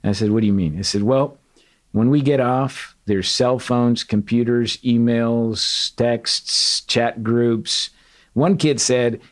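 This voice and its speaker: A middle-aged man from Portugal, a retired sergeant major, telling candid stories in an interview with an earnest, animated manner. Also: mid-range voice. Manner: earnest, animated, quotes others in dialogue. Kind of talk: candid storytelling.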